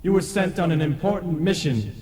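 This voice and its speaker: An actor playing a stern, pompous ghost who presides as judge, declaiming officiously in a radio play. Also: male voice, a little high, lively intonation, brisk, a crowd in the background, close to an everyday voice. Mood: indignant. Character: stern, pompous male ghost chairman of a tribunal. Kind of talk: old-time radio play acting. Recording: echoey long reverb, faint tape noise.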